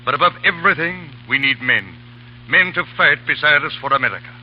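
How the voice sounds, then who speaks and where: An actor playing a blunt, fiery general in a 1930s radio drama, talking in a gruff, commanding way. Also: male voice, on the high side, expressive, brisk. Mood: formal. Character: stern older male general, blunt and fiery. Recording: muffled old radio broadcast with hiss.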